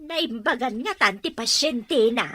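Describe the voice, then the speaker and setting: A woman's voice: on the high side, lively intonation, measured pace, bright, forceful tone, full character voice. A woman with a Filipino accent playing a practical, outspoken mother in an audio drama. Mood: matter-of-fact. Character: practical, outspoken mother fussing over family. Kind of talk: Ilocano audio drama acting.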